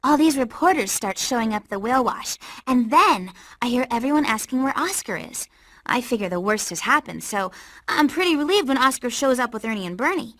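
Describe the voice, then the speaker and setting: High-voiced, caring, exasperated cartoon fish. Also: female voice, expressive, brisk, fairly bright tone, no echo. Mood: incredulous. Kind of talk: video game cutscene monologue.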